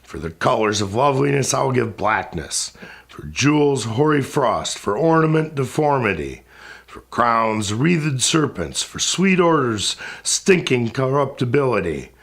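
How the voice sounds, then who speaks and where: A man's voice: mid-range voice, very animated, unhurried, gravelly, fairly bright tone, breathy edge, heavily acted. A man playing a wrathful, sorrowing ancient spirit king in a recited epic poem, speaking in a grand, commanding, declamatory way. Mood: cursing. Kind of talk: dramatic epic verse recitation.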